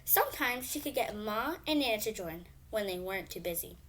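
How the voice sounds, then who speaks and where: A woman's voice: mid-range voice, expressive, fairly quick, fairly bright tone, a little hiss or hum. A female reader telling a children's bedtime story expressively, with emphatic phrasing.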